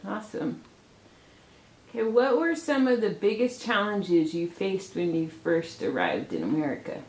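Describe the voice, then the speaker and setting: A woman's voice: mid-range voice, expressive, measured pace, a little mellow. A young woman conducting a student interview, reading prepared questions with brief reactions. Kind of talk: casual interview. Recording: echoey room.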